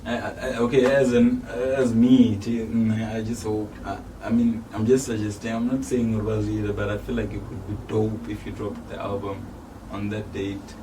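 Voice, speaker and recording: A man's voice: lowish voice, flat delivery, moderate pace, hardly any pauses. A man with a South African accent hosting a casual interview in a laid-back, slangy way. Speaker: host. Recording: distant mic with faint noise.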